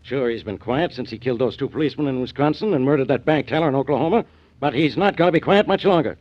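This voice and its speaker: A man's voice: mid-range voice, fast, no echo, close to an everyday voice. A man playing an urgent, insistent police inspector in a 1940s radio crime drama. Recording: dull vintage radio recording.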